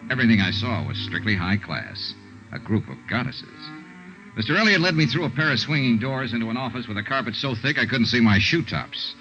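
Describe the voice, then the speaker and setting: An actor playing a wry, breezy American insurance investigator in a 1950s radio drama, with a brisk, clipped delivery. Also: male voice, medium pitch, big pitch swings, sharp tone, soft background music. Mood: brisk, matter-of-fact. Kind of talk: 1950s radio detective drama, first-person narration. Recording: dull old 1950s radio recording.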